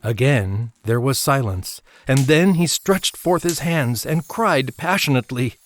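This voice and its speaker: A male narrator reads a dramatized story in a measured, scripted style. His pitch is medium, and music plays clearly behind him. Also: lively intonation.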